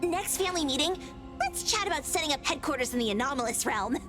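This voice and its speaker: Bossy young anime girl, extremely high voice, lively swoops, boastful, playful, game music behind. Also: fast talker. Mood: smug, playful. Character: cocky, excitable young girl who bosses her gang around. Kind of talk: anime-style video game voice acting.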